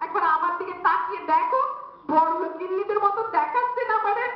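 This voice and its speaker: A woman playing an excitable, greedy, naive village wife in a comic folk drama, gushing and chattering. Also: extremely high pitch, fast talker. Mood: giddy. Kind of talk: Bengali comic domestic stage drama. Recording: very echoey, distant, dull, faintly noisy.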